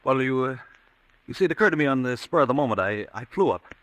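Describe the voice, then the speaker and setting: Actor as tormented, bright-voiced panicky man. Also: medium pitch, lively intonation, dry recording, lightly acted. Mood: flustered, evasive. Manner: halting, agitated, stammering. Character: tormented, panicky man unsure of his own sanity. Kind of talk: old-time radio suspense acting.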